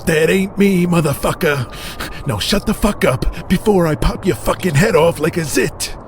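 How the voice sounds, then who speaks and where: Curt, slightly high-voiced tough guy. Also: unhurried, noisy background. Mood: threatening. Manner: curt, dismissive. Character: gruff, reluctant tough-guy pro wrestler, curt and irritable. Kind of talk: audio drama acting.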